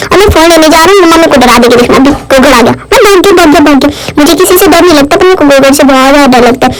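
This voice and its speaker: A woman's voice: extremely high pitch, rough recording. A woman voicing a cheeky baby boy who crawls about and chats about his day in sing-song baby talk, as vlog voice-over narration.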